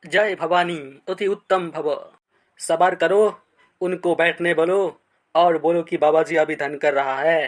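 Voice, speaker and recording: A man's voice: a little high, fairly even intonation, normal speed, close to an everyday voice. A man playing a pompous fake guru in a cartoon comedy, speaking in solemn blessings and chants. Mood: pompous, pious. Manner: pompous, chanting, repeating devotional catchphrases. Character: fake holy man, pompous male guru feigning meditation.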